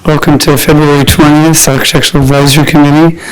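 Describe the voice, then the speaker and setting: A man's voice: fairly high pitch, little pitch movement, fast talker, slight background noise, poor audio. Man with a cold chairing a meeting. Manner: procedural, hesitant with fillers. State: recovering from a cold, voice weak. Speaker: committee chair. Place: council chamber.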